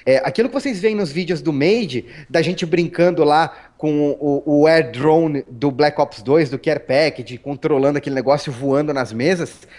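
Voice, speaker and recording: Brief: Paulistano male guest, relaxed storyteller, raised pitch, lots of restarts and "né?". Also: moderate pace, hardly any pauses. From Brazil. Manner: chatty, storytelling, frequent restarts and tag questions. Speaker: interview guest. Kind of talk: casual interview talk.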